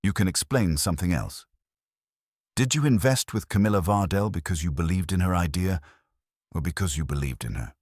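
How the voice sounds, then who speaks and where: Deep-voiced wry detective's legman played by a man. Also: expressive, brisk, darkish tone, no room sound. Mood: cool, wry. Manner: dry, cool, wisecracking. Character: wry, unflappable male private detective's legman.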